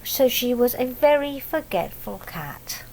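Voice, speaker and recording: Slow-paced woman reading a children's story. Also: a little high, big pitch swings, vocal fry, a little mellow, slight background noise, low-quality recording. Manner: simple explanatory asides and questions for young children. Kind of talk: read-aloud picture book storytelling with asides.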